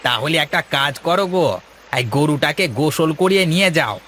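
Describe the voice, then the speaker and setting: A man acts out a friendly, advice-giving villager from a moral cartoon. The voice is bright and forceful, somewhat high, over faint ambient noise. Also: brisk. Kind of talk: Bengali moral-story cartoon voice acting.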